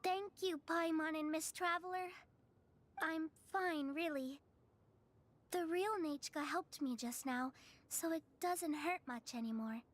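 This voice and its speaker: A woman voicing a young girl just freed from captivity, speaking softly and reassuringly. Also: high voice, measured pace. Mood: gentle. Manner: soft, reassuring.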